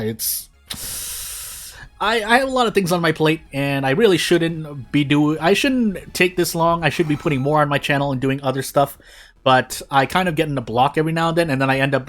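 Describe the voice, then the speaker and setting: A man's voice: a little high, fairly bright tone. A man giving casual gameplay commentary in a rambling, self-interrupting, self-deprecating way, often losing his train of thought. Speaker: video creator and gamer.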